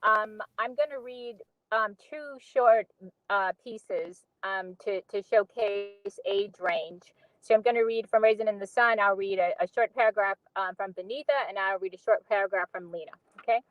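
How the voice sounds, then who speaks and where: A woman's voice: medium pitch, moderate pace, no room sound. A woman auditioning as an actor, casually introducing herself over muffled, lo-fi call audio. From Jacksonville, USA.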